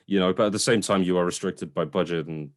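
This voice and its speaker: Male review co-host, lowish voice, very dry sound, conversational, opinionated, a bit hesitant, mildly sweary. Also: quick pace, dry recording. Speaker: podcast co-host reviewing films. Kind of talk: casual opinionated film discussion.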